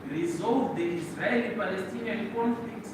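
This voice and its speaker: A man with a Zimbabwean accent giving a formal prepared speech at a forum, deliberate and emphatic, repeating phrases for weight. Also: high voice. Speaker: speaker at a public forum. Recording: very echoey, distant mic, loud background noise.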